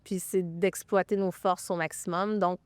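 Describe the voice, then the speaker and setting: Enthusiastic Québécois businesswoman, smooth voice. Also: fairly low pitch, flat delivery, unhurried, dry recording. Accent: Québécois French. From Quebec (Magog). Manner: enthusiastic, talks with lots of hesitation fillers. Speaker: real estate broker, guest. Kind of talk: casual interview chat.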